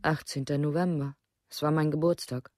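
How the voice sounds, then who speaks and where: An actor playing a grieving, protective parent in a radio play, speaking in plain short sentences. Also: male voice, a little high, fairly even intonation, moderate pace, darkish tone, no room sound, clean studio sound, close to an everyday voice. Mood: subdued. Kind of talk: documentary radio play monologue.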